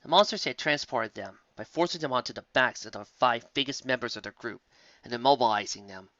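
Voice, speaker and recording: A man reading a story aloud in an expressive, animated storybook manner. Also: medium pitch, a little fast, no echo. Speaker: audiobook reader. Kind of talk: storybook narration. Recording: dull lo-fi dry recording.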